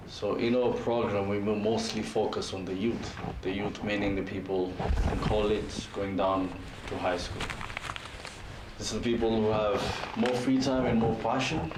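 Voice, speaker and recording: A man, explaining his organization's work in a deliberate way and defining terms as he goes. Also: fairly low pitch, echoey room, recorded from a distance, background noise. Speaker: organization spokesman. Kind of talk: interview explanation.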